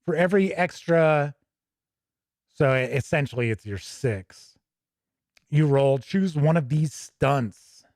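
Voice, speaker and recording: A man's voice: fairly high pitch, a little mellow, no echo, studio quality. An American man hosting a tabletop gaming show, reviewing casually off the cuff and thinking aloud as he rambles.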